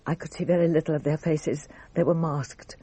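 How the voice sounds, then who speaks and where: Guarded wife, dark husky tone, formal, measured, composed, radio drama. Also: female voice, low-pitched, fairly even intonation, no room sound. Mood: grave, shaken. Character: composed French wife recounting her ordeal, guarded.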